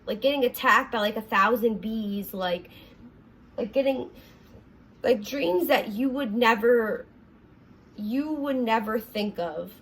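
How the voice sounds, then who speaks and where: Earnest raspy young woman vlogger. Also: a little high, fairly bright tone, a little hiss or hum, poor audio. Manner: earnest, rambling, many fillers and restarts. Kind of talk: personal confessional vlog. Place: her apartment.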